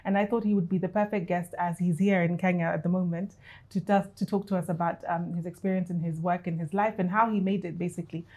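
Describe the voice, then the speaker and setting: A woman hosting an interview, friendly, with fillers and quick short acknowledgements. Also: on the low side, steady tone, darkish tone. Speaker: interview host. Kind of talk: casual interview.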